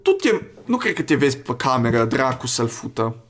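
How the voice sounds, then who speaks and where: A man's voice: mid-range voice, sing-song. A man hosting a gaming video, chatting casually in a crude, profane, rambling way. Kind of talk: casual let's play commentary.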